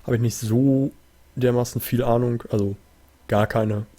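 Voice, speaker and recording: A man's voice: mid-range voice, steady tone, normal speed, mellow. A man giving casual, laid-back commentary as he plays a video game, muttering dry reactions. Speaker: let's play host. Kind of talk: casual gameplay commentary in German.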